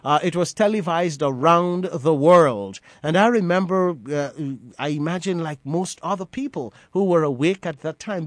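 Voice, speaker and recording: Earnest Guyanese-born radio host, fairly high. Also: male voice, no room sound, studio quality. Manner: earnest, repeats and restarts phrases. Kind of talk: reflective on-air commentary.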